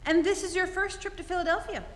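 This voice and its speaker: Haughty witty heiress, acted by a woman, high voice, theatrical and mock-innocent, radio play, long hall reverb. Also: steady tone, quick pace, fairly bright tone. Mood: arch, teasing. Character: haughty, witty society heiress, playfully probing and imperious. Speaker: amateur stage actor. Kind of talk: radio play re-enactment on stage. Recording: echoey theatre hall, live stage.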